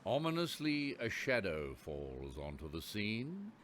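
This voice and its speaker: A man's voice: fairly low pitch, wildly swooping pitch, unhurried, fairly bright tone, a little hiss or hum, heavily acted. A man playing the narrator of a radio play, telling the story in scripted, descriptive prose. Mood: measured storytelling.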